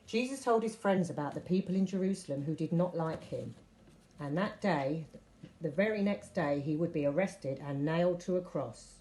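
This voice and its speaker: A woman reading a Bible story aloud as scripted narration, with expressive delivery of quoted speech. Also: fairly low pitch, rough recording. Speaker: story reader.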